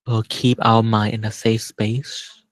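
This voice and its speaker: A man leading a guided meditation as a teacher, speaking gently and unhurriedly with long pauses. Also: fairly low pitch, level tone, dry recording.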